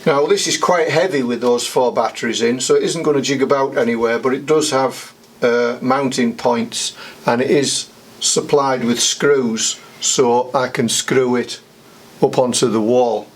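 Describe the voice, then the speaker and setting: Slow-paced man giving a DIY demonstration. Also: mid-range voice, steady tone. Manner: matter-of-fact, explains step by step. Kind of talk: casual how-to demonstration.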